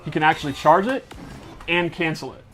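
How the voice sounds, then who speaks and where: A man's voice: a little high, a little fast, a bit bright, soft background music. A man giving a casual, enthusiastic gameplay demonstration with slangy wording, as a game developer would.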